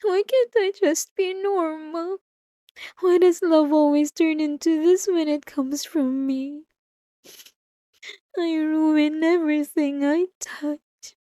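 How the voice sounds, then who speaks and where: A woman playing an obsessive, remorseful wife in an intimate ASMR roleplay, confessing in a halting, tearful way close to the microphone. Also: sits high, steady tone, warm tone, no echo. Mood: self-loathing. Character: obsessive, possessive wife, remorseful, delusional and tormented. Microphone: close microphone, dry.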